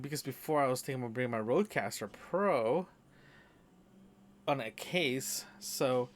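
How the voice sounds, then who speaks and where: A man's voice: mid-range voice, a little hiss or hum. A man recording a casual solo audio diary at home, rambling with many fillers and restarts. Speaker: podcast host. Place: apartment room.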